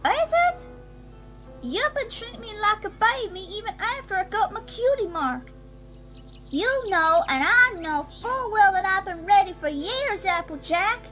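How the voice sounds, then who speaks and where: Someone voicing a very high-pitched stubborn teenage pony filly. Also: expressive, a little slow. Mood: pleading, insistent. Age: young teen character. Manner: indignant, insistent, folksy country phrasing. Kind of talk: dramatic fan fiction audio reading. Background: music bed.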